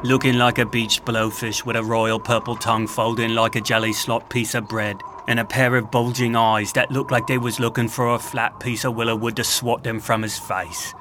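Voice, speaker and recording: A man's voice: medium pitch, little pitch movement, normal speed, hardly any pauses, background noise. A man narrating a scripted audio drama in a theatrical, florid storytelling manner. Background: music.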